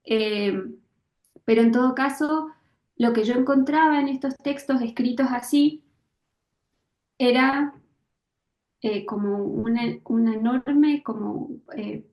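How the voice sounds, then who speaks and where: A woman's voice: fairly high pitch, darkish tone. Hesitant woman, echoey room. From Misiones, Argentina. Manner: hesitant, searching for words, many fillers and restarts. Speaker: author interviewed about her book. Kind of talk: thoughtful conversational interview.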